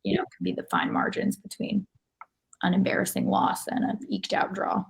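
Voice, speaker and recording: A woman co-hosting a soccer podcast, speaking in a measured way with frequent "you know". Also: mid-range voice, wildly swooping pitch, creaky, breathy edge. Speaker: podcast co-host. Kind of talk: casual soccer podcast chat.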